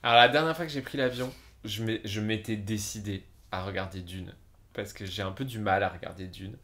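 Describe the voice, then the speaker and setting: A man's voice: lowish voice, fairly quick, fairly bright tone. A man in his thirties co-hosting a podcast in a casual chat, telling anecdotes and dropping English words into his French. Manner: chatty storyteller who drops English words into his French. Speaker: podcast co-host.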